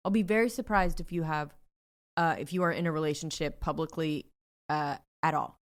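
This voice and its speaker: Woman, low voice, blunt, casual swearing chat. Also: fairly even intonation, fairly quick. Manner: blunt, swears, mocks people in high sugary voices.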